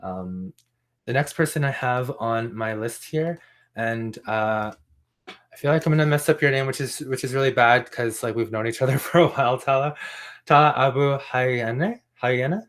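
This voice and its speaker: A man's voice: mid-range voice, normal speed, a bit bright. A young man hosting an open mic, chatty and enthusiastic, with lots of fillers. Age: young adult. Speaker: event host.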